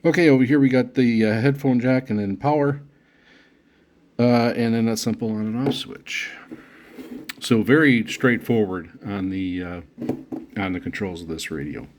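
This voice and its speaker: A man giving unscripted unboxing commentary as a product reviewer, speaking haltingly with frequent pauses mid-phrase. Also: mid-range voice, a little mellow, slight background noise.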